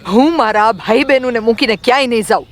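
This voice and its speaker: A woman voicing a fierce, tearful thirteen-year-old village girl in an audiobook drama, with a rural Gujarati accent and loud, indignant questioning. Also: on the high side, a little hiss or hum, close to an everyday voice. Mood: angry, hurt. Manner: loud, indignant, rapid-fire rhetorical questions.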